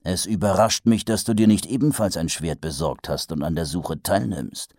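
A man voicing a weary, gloomy male watch captain who speaks slowly with dry sarcasm. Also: deep voice, a little mellow, dry recording. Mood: dry skeptical. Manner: slow, dry and sardonic.

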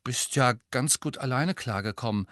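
A man's voice: mid-range voice, normal speed, a bit bright, no echo, studio quality, natural voice. A man voicing a lanky, sceptical teenage boy in an audiobook story, blunt and grumbling. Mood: mumbling.

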